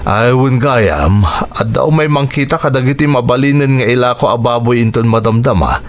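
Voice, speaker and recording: A man's voice: medium pitch, moderate pace, a little hiss or hum, natural voice. A man with a Filipino accent playing a kindly, hardworking pig-farmer father in an Ilocano radio drama. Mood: fatherly, encouraging.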